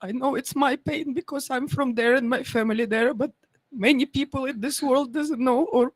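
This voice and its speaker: A woman's voice: high-pitched, steady tone, dry recording. Ukrainian-accented woman, dry close sound. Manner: earnest, halting, non-native grammar. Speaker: guest. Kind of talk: personal testimony on a talk show.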